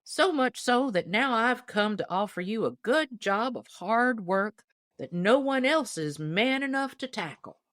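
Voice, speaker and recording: A woman's voice: on the high side, lively intonation, unhurried, a bit bright, dry recording, clean studio sound, voice pushed up. A woman playing a very old, shrewd country deacon in a storybook, piping and folksy in an old-timer's manner. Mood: persuasive. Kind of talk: storybook character voice in audiobook reading.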